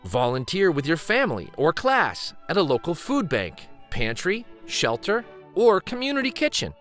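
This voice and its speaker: A man reading a children's picture book aloud in an expressive, deliberate, emphatic storytime style. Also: fairly high pitch, soft background music. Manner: deliberate, animated, emphatic phrasing.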